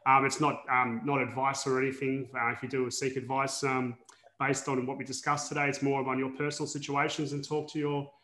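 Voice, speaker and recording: Fast-talking enthusiastic male host, echoey room. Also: medium pitch, flat delivery, pushed and bright. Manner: enthusiastic, encouraging, uses fillers. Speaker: podcast host. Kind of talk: casual interview talk.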